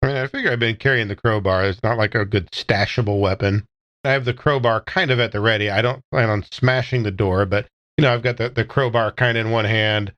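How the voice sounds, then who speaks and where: A man's voice: fairly low pitch, brisk, fairly bright tone, no echo, muffled recording. A man talking casually as a player in a tabletop role-playing game.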